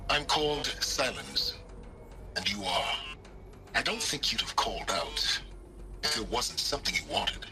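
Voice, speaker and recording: An actor playing a shrewd, self-assured scholar-schemer in a video game, with a measured, dry and condescending delivery. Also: male voice, mid-range voice, animated, vocal fry, noisy background. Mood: probing, calculating. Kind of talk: video game dramatic acting.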